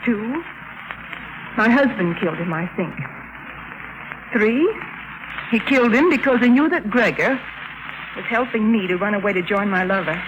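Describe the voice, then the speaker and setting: An actress playing a coy, evasive femme fatale in a 1950s radio drama. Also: female voice, mid-range voice, lively intonation, moderate pace, pauses often, lo-fi sound, close to an everyday voice. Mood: cool, matter-of-fact. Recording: noisy old 1950s radio recording.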